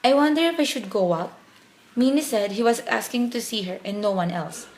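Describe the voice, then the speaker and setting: A woman performs a caring but anxious household character for a radio play. Her voice sits a little high. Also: animated, a little fast, heavy background noise. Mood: uneasy.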